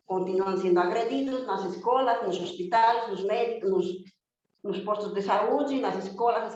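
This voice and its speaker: A woman's voice: mid-range voice, a little mellow. A woman who learned Portuguese as a second language, speaking with emphatic indignation and piling up rhetorical questions and lists. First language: not Portuguese, learned it on her own. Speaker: guest speaking for domestic workers. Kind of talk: impassioned spoken testimony. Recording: very echoey, dull lo-fi remote audio with faint noise.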